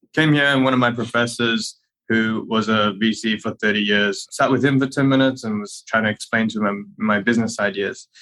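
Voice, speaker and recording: A man's voice: lowish voice, fairly bright tone, poor audio. A man who grew up in Malaysia and Australia, a company co-founder telling stories as he answers interview questions.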